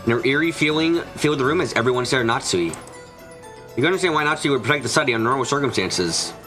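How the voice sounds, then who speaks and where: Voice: mid-range voice, animated, fast, a bit bright. A streamer reading a mystery visual novel aloud as narrator, with small stumbles and rereadings over music. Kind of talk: fan dub narration of a mystery game.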